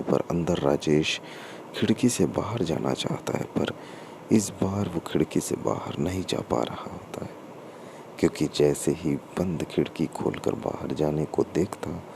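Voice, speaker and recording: A man narrating a scripted story in a halting way, in short broken phrases. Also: very deep voice, gravelly. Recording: noticeable background noise.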